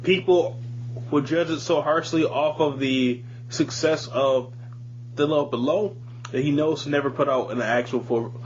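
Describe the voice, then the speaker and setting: High-voiced, slangy man chatting. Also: steady tone, measured pace. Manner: slangy, profane, emphatic opinions. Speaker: podcast co-host. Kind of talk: casual music discussion. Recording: dull lo-fi audio, audible background noise.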